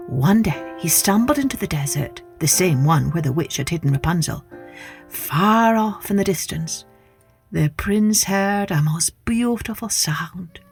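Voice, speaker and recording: A woman narrating a scripted children's story as a podcast host, with expressive, deliberate delivery. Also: mid-range voice.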